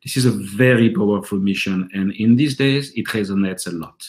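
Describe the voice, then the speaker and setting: A man with a low voice and a French accent presents at a conference. He speaks in an earnest, measured way and sometimes restarts a phrase. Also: a little mellow. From France. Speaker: company presenter.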